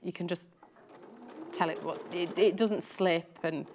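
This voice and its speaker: A woman's voice: lowish voice, fairly even intonation, measured pace, noisy background. A young woman demonstrating sewing on a live craft show in a TV studio, explaining her steps in an easygoing way. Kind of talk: live sewing demo chat.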